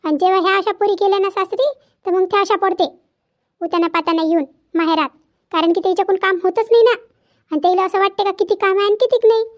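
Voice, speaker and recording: A woman's voice: very high voice, fairly even intonation, quick pace, highs cut off. A woman voicing an opinionated, preachy village auntie in a cartoon family drama, chatty and repetitive, with a Vidarbha Marathi accent.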